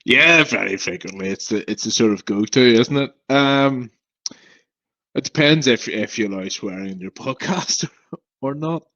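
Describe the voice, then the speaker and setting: A man's voice: mid-range voice, a bit bright, no echo, lo-fi sound. Man who stammers, young adult, from Northern Ireland, forthright, dry close sound. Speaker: podcast guest. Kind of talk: casual interview talk.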